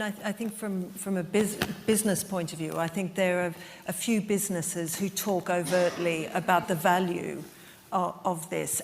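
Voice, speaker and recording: Woman, panel chair, slightly low voice, brisk, reverberant hall. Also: slight background noise. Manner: brisk, directs questions to the audience. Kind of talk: moderating a panel. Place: lecture hall.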